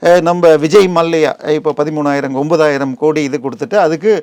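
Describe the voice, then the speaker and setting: A man's voice: on the high side, steady tone, brisk, a little mellow, clear, resonant tone, dry recording. A man with a Tamil Nadu accent giving digressive, explanatory commentary in an interview, often adding comparisons and figures. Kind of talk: explanatory news interview talk.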